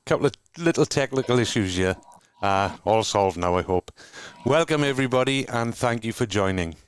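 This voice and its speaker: Calm, smooth-voiced Welsh man on a video call. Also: mid-range voice, no room sound. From Wales. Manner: measured, earnest reading of prepared text. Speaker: co-host. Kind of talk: scripted welcome and reading.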